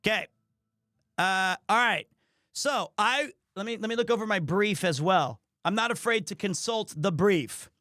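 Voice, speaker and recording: High-pitched man chatting on a livestream. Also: bright, forceful tone, no echo, clean studio sound. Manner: joking, self-deprecating, rambling. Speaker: streamer, host. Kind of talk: casual livestream chat with viewers.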